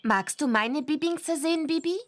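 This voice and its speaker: A woman's voice: high voice, expressive, moderate pace. A woman voicing a friendly, chatty young witch girl in a German children's computer game.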